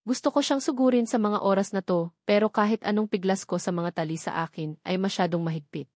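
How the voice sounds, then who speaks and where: A woman's voice: mid-range voice, a little fast, a little mellow, no echo. Woman narrating a novel, dry close sound. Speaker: audiobook narrator. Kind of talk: scripted first-person novel narration.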